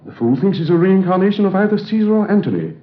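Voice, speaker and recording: Brief: a brisk, patronizing older friend, lively intonation, dull echoey old recording. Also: male voice, sits high. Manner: firm, scolding, condescending. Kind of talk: 1930s radio drama acting. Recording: dull roomy 1930s radio recording.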